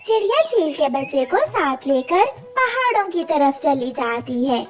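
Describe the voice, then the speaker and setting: A woman with a very high voice tells a children's cartoon tale. Her narration is slow and lively in melody, with music clearly behind it. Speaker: storyteller narrator.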